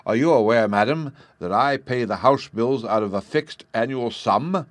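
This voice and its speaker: A man's voice: lowish voice, sing-song, normal speed, dry recording. A man voicing a pompous, stingy middle-aged official who blusters. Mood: angry.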